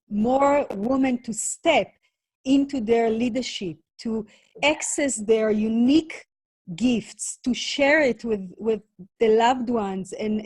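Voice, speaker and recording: A woman from Latvia who grew up in Israel speaks slowly and calmly, with a slightly high, husky voice. She hosts a spiritual conversation in a measured, affirming way. The sound is dry and close, as on a video call. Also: dry recording. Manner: calm, measured, warm affirmations.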